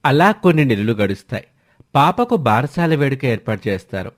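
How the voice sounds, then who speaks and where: A man's voice: mid-range voice, very animated, normal speed. A man narrating a scripted family story as the storyteller.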